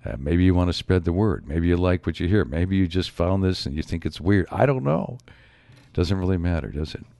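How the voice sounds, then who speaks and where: A man hosting his own show in a rambling solo monologue, his voice a bit raspy from a slight cold. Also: sits low, expressive, brisk, dark tone, no room sound. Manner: rambling, musing, frequent asides. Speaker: show host.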